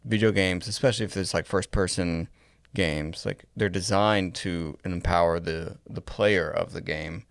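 A man in his thirties co-hosting a casual podcast, speaking in a measured, tentative way close to a studio microphone. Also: sits low, fairly even intonation, vocal fry, dry recording. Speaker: podcast co-host. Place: small indoor podcast studio. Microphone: close boom-arm studio microphone.

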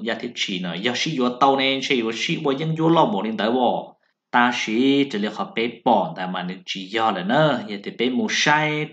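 A man's voice: mid-range voice, fairly bright tone. A man giving a rambling, casual talk about zodiac matches as a voice-over. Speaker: zodiac fortune talker. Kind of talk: casual spoken talk over a still slide. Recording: dull lo-fi sound.

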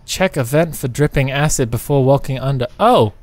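A man chatting casually and excitably while playing a co-op game, joking and exclaiming as he goes. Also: mid-range voice, normal speed, no room sound. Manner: jokey, exclaiming. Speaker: gamer playing a co-op roleplay map. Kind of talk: excitable casual gameplay chat.

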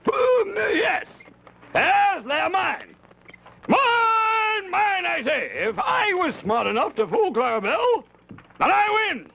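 A man's voice: very high voice, sing-song, slow, gravelly, lo-fi sound, exaggerated voice. A man voicing a cranky, greedy, boastful old man puppet in a 1950s children's television show, blustering and repeating himself insistently. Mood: indignant, insistent.

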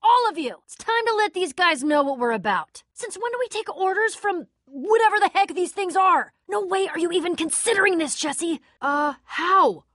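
Feisty young fighter girl for a game, wry, high voice, swings all over, dry studio sound. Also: animated, moderate pace, few pauses, a bit bright, dry recording. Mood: wary. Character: feisty, sardonic young woman warrior in a video game. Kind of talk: animated game voice acting.

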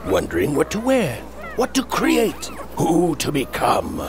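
A man playing a theatrical storybook narrator in a video game, savouring his words in a dark fairy tale. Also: fairly high pitch, wildly swooping pitch, a little slow, noisy background, heavily acted. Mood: wistful, playful.

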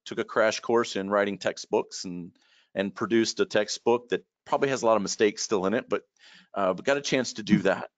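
A middle-aged man, a trainer telling stories in a relaxed, rambling way, repeating words and using many fillers, heard over a video call from a room at home. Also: lowish voice, no room sound. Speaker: guest expert and trainer. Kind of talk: casual storytelling in a video call. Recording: dull lo-fi call audio.

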